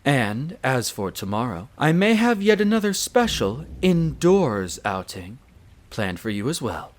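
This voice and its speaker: A man's voice: mid-range voice, very animated, a little slow. A man voicing a courteous, attentive wolf butler with a formal, solicitous manner in an audio drama. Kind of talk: audio roleplay drama acting.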